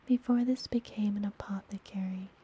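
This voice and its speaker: A woman playing a friendly, mysterious witch healer in a whispered ASMR fantasy roleplay, soothing and gently teasing. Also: medium pitch, fairly even intonation, highs cut off, a little hiss or hum, lightly acted. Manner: soothing, unhurried, softly whispered.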